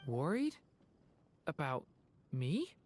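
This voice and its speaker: A woman voicing a gentle, hesitant young boy in a video game, speaking softly and haltingly. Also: sits low, sing-song, slight background noise, full character voice. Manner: halting, soft-spoken.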